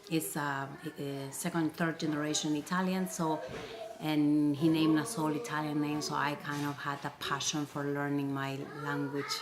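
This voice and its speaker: Low-voiced Peruvian-born woman, hesitant. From Peru. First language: Spanish. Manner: hesitant, many restarts and fillers. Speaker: interview guest. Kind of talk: casual interview chat. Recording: noisy background.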